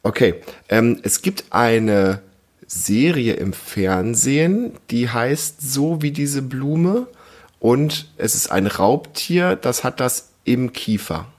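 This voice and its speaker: A man's voice: medium pitch, expressive, slow. A man hosting a casual family podcast, chatting in a patient, encouraging way and hesitating as he explains. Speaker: podcast host.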